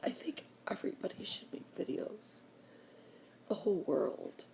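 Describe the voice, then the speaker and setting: An older woman crying softly as she talks to her camera in a personal vlog, whispering slowly with deep emotion. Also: expressive. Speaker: vlogger.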